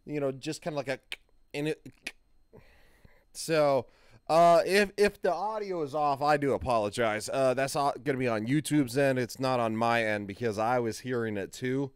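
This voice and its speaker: Man with a clear voice, reacting casually. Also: mid-range voice, normal speed, dry recording, clean studio sound. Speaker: reaction video host. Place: small room at home, indoors. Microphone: close studio mic on a desk, with headphones.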